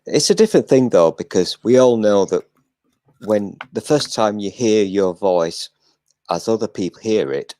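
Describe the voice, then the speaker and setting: An older man hosting a casual live chat, talking in a flat, self-deprecating way and swearing now and then. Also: lowish voice, normal speed, no room sound.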